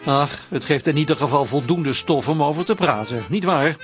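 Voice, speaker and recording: An actor as a wry, formal storyteller giving scripted narration for a radio comedy serial. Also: male voice, fairly high pitch, normal speed, lightly acted. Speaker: narrator closing the episode. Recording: music behind the narration.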